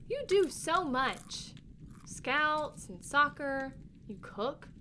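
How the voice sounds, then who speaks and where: A woman playing a sensitive, excitable young girl, a grieving little sister, in a family audio drama, with a childlike, openly emotional manner. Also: sits high, drawn-out words, slight background noise, exaggerated voice. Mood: sad, confiding.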